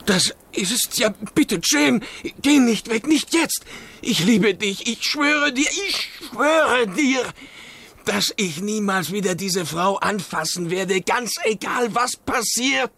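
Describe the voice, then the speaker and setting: An actor playing an urgent, emotionally pressed kept lover and schemer in a German radio drama. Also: male voice, high-pitched, expressive, takes it slowly, a bit bright, full character voice. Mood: desperate pleading. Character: desperate kept lover, pleading, turning resolute schemer.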